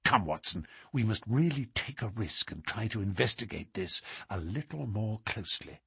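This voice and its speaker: A man reading aloud, voicing a cool, measured, sharp-witted gentleman detective. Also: a little high, very animated, breathy edge, no echo, studio quality, voice pushed up, heavily acted. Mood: hushed. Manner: cool and measured, dropping to a hushed whisper.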